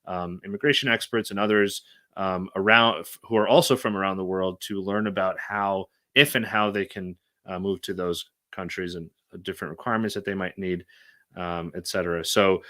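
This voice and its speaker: A man's voice: low voice, pushed and bright. A man hosting an interview show, upbeat and chatty, with many fillers. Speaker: podcast host, former immigration attorney.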